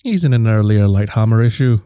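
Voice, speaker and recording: Man as a mellow, animated, exasperated co-host. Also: on the low side, fairly quick, smooth, no echo, studio quality, lightly acted. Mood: mildly exasperated. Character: exasperated, focused male co-host keeping things on track. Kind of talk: scripted casual banter.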